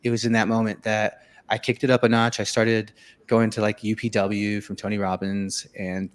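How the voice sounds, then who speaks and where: A man's voice: lowish voice, level tone, normal speed, clean tone. A man born in Venezuela, a filmmaker and musician, telling his life story in a casual interview with many fillers and restarts.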